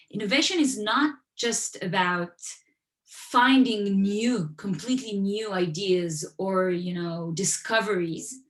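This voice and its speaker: A woman speaking over a video call from a room with a noticeable echo. Her pitch sits in the middle for a woman. She talks in a conversational way and builds her points through stories and everyday examples. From Western Europe. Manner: storytelling, explains with everyday examples. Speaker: author and guest speaker. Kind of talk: conversational talk at an online event. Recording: echoey room.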